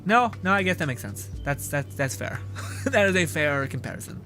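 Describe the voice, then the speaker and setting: A man's voice: fairly high pitch, sing-song, moderate pace. Rambling, joking young male streamer. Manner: rambling, joking, swears freely. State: caffeinated. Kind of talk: casual gameplay commentary. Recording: live stream with game music loud behind.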